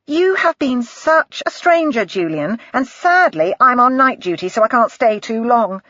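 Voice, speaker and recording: A woman's voice: a little high, animated, a little slow, a bit bright, no echo, pitched above the performer's own voice. A woman voicing a chatty, gushing nurse, in a slightly dull, dry recording.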